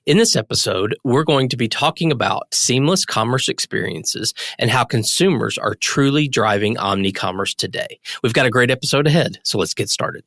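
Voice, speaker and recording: A man's voice: medium pitch, lively intonation, normal speed, few pauses, a bit bright, dry recording. Upbeat male podcast host, dry sound. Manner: upbeat and welcoming. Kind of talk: scripted interview intro.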